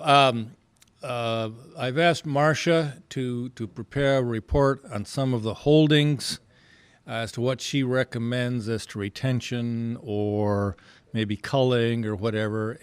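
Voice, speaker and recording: Halting man chairing an informal meeting. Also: mid-range voice, clear, resonant tone, no room sound. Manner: hesitant, rambling, polite.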